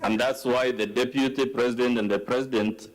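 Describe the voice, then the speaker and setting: A Kenyan man acting as counsel, asking deliberate, leading questions at a formal hearing. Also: medium pitch, fairly even intonation, low-quality recording. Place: legislative chamber hearing.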